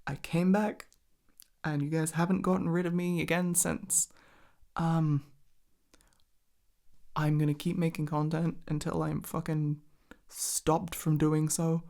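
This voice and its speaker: A woman with a slightly low voice talks in a casual, close and intimate way, as if rambling to one listener. She is informal and sweary, giggly and self-deprecating in how she puts things. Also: flat delivery, slow pace, gravelly, long pauses, warm tone. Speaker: ASMR content creator and roleplay performer.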